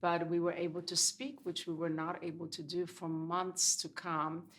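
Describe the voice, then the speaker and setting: A woman's voice: fairly low pitch, flat delivery, normal speed. A woman in her sixties from Poland, Polish her first language, a guest in an interview telling her story reflectively with many fillers. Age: 60s. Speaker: guest dermatologist. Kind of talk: casual interview chat.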